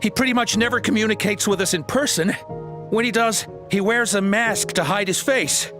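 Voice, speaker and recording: A man's voice: sits high, moderate pace, music in the background, close to an everyday voice. A man playing a cowardly, grovelling henchman in a video game, stammering nervously. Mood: nervous, placating. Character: cowardly male poacher henchman, panicky and grovelling.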